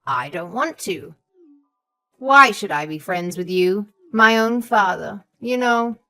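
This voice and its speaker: A woman's voice: mid-range voice, animated, slow pace, faint music behind, heavily acted. A woman playing a haughty, suspicious elderly mother-in-law, scornful and condescending, in a scripted audio story. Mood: bitter, stubborn. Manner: condescending, sharp, calls others sweetheart and darling.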